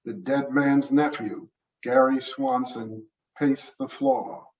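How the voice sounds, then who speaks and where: A man's voice: mid-range voice, steady tone, slow pace, darkish tone. An older man, an author, reading his own mystery short story aloud as narration. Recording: roomy, muffled, distant mic.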